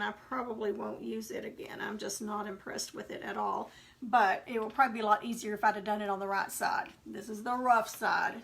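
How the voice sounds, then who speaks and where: A woman with a Texas accent and a somewhat high, gravelly voice. She talks through a live painting tutorial in a casual, rambling way, drifting into homey asides from her craft workspace. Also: a bit bright. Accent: Texan.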